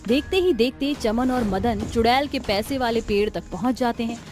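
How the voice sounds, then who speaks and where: Female story narrator: high pitch, scripted storybook read, music bed. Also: animated, normal speed, darkish tone.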